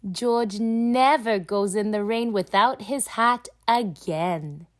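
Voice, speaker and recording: A woman reading a children's storybook aloud in an expressive, deliberate storytelling style. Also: mid-range voice. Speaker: storybook reader.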